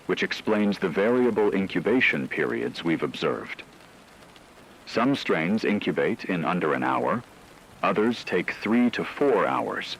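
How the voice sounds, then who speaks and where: A man playing a calm, grave radio news anchor, reading a scripted report in a measured, formal, factual way. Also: deep voice, creaky, slight background noise, heavily acted, poor audio.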